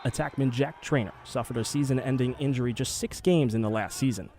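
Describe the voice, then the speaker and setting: Mellow-voiced male TV sports reporter. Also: mid-range voice, brisk, a little hiss or hum. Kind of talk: scripted news package narration.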